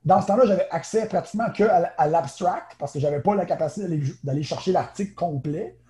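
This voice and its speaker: Quebec French male guest, naturopath, casual, higher voice, very warm tone. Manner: chatty, quick, many fillers and restarts. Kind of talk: casual interview talk.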